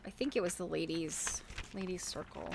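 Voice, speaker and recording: A woman's voice: on the low side, moderate pace, creaky, noisy background. A middle-aged woman chatting casually as she shows things to viewers, thinking aloud in a rambling, chuckly way. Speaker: host of a quilting show. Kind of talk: casual show-and-tell chat.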